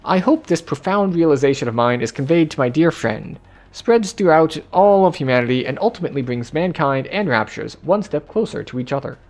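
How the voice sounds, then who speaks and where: A man's voice: fairly high pitch, expressive, a little fast, darkish tone. A man reading aloud, in his own voice, the diary entry of a game character, an earnest and hopeful woman.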